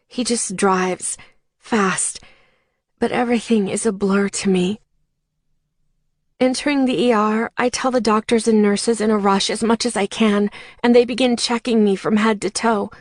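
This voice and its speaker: Woman reading a dramatic first person novel, slow and emotive, very dry close sound. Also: fairly high pitch, dry recording. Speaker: audiobook narrator.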